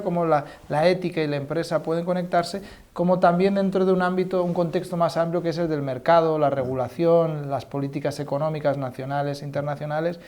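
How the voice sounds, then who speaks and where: A man's voice: on the high side, a little mellow. A male interview host who introduces his guest and asks questions in a formal way, with frequent fillers and repetitions. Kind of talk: interview hosting.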